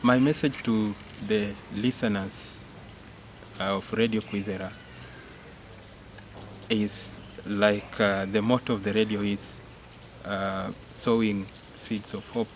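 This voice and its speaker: Young guy, jesuit novice, low-ish voice, talks slow and earnest, crickets chirping loud behind. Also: steady tone. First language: not English-speaking only; English speaker. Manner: earnest, measured, with frequent fillers. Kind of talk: reflective interview testimony. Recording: clear insect and cricket noise behind.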